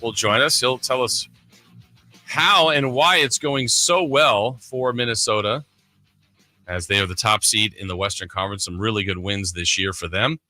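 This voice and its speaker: Man, very bright forceful voice, lowish pitch, sports talk radio host, conversational, dry studio sound. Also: normal speed, no echo, soft background music. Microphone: close broadcast studio mic.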